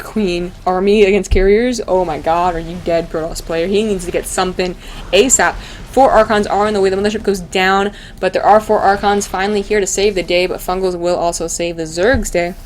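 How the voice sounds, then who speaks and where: A woman doing live, casual esports commentary in an excitable, slangy way, her voice a little tired from talking. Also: on the high side, slight background noise. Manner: gushing, excitable, slangy and informal. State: voice tiring, starting to go. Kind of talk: live video game commentary, casual and chatty.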